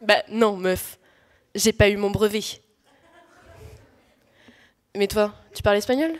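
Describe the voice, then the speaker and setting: A woman's voice: mid-range voice, fairly even intonation, moderate pace, close to an everyday voice. A young woman playing a bored, whiny modern young wife in a comic audio drama, slangy and exasperated. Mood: sulky. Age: teenager.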